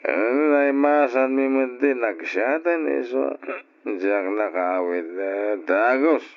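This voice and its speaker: A man playing an irresponsible, hard-drinking husband and father in an Ilocano radio drama, full of sheepish drawn-out excuses and interjections. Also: mid-range voice, expressive. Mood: groggy, evasive. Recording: muffled lo-fi old radio recording.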